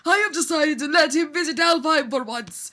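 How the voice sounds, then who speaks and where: A woman's voice: high-pitched, normal speed, sharp tone, pitched above the performer's own voice. A woman voicing a haughty, curt male god prince from fan fiction, with stiff, formal declamation.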